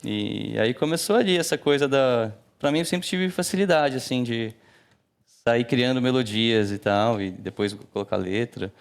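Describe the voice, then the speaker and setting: A man's voice: mid-range voice, moderate pace, creaky. A man in his thirties with a Brazilian accent, a musician talking casually as a TV guest, loose and filler-heavy. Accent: Brazilian Portuguese. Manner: relaxed, many fillers like "né" and "assim". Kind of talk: casual TV interview chat.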